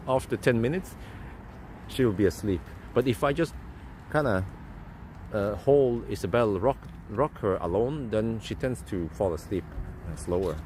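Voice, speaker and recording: A man, rambling through casual live-stream chat as host and thinking aloud with fillers and restarts. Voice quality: deep voice. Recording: steady vehicle and street noise.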